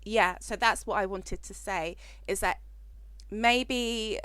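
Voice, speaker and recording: A woman's voice: mid-range voice, slow, fairly bright tone, clean tone, dry recording. A woman from Africa hosting a podcast interview, speaking in a reflective, searching way that trails off mid-thought. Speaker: podcast host.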